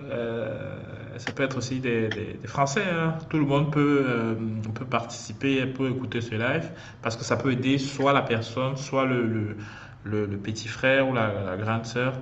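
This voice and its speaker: A young man hosts a casual livestream, chatty and halting, calling out to his viewers. He speaks through a headset in a small room. The video-call audio is a little muffled, with a faint hiss underneath. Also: medium pitch. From Cameroon. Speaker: host of a student livestream.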